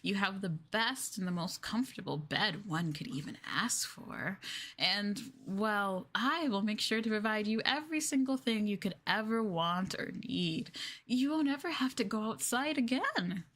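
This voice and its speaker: A woman voicing a sweetly possessive, obsessive young girlfriend who holds her lover captive, in a soft, cooing, hesitant ASMR roleplay delivery. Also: medium pitch, animated, a little slow. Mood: dreamy, adoring. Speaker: streamer roleplaying for viewers.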